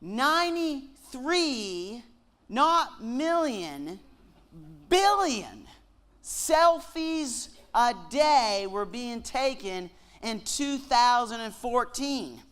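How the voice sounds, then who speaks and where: A Canadian man with a slight Southern twang, giving a joking sermon-style lecture with slow, deliberate emphasis on key words. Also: very high voice, sing-song, fairly bright tone, clear, resonant tone. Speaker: guest speaker at a Bible lectureship.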